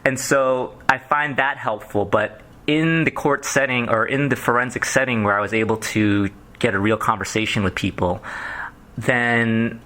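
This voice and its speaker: A man answering as a psychiatrist in a phone job interview, explaining himself in halting, rambling stretches full of clinical terms. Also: mid-range voice, steady tone, pushed and bright. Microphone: telephone.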